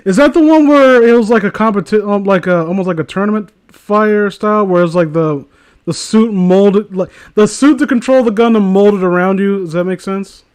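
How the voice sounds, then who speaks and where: Male co-host, high-pitched, foul-mouthed teasing in loose group chat, dry recording. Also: normal speed, clear, resonant tone, no echo. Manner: sweary, mocking banter. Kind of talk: casual group chat and commentary on amateur fiction read aloud.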